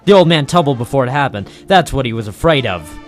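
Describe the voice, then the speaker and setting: An actor playing a tough, cynical dockside private eye in 1940s radio noir style, deadpan and hard-boiled. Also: male voice, medium pitch, fast talker, natural voice. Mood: tough, pressing. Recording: vintage 1940s radio recording with music.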